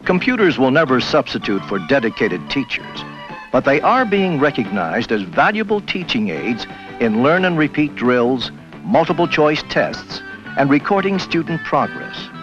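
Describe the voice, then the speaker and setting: A man's voice: mid-range voice, big pitch swings, moderate pace. A man reading scripted narration for an educational documentary, on an old film soundtrack. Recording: dull 1980 film soundtrack. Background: faint music.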